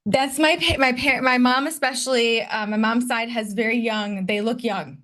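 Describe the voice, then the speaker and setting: A woman's voice: fairly high pitch, steady tone, normal speed, fairly bright tone. A woman in her late thirties from Detroit, a podcast guest who candidly reflects on her past in a conversational way. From Detroit, United States. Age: 30s. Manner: candid and reflective, personal storytelling. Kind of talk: conversational interview.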